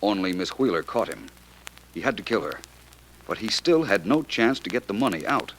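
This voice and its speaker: A shrewd, confident male detective from a 1940s radio play. His voice is low and bright with very lively intonation, on a hissy old recording. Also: normal speed. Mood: calmly reasoning. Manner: smooth, confident, deductive. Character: assured, shrewd male amateur detective, calmly reasoning. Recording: noisy vintage radio recording.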